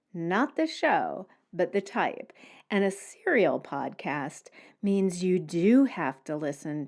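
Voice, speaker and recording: Slow-paced woman hosting a podcast. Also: low-pitched, a little mellow. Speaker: podcast host. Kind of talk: solo podcast talk, explanatory and conversational.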